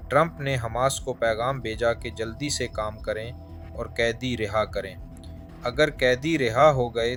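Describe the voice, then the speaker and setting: Man, news presenter, medium pitch, formal scripted delivery, music behind. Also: fairly bright tone. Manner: formal Urdu news diction. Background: background music.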